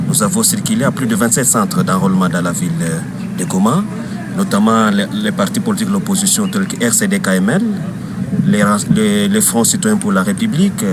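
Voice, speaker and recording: A man's voice: fairly low pitch, heavy background noise. A man speaking as an opposition coalition spokesman in an interview, formal and listing, with hesitant restarts. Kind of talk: interview soundbite.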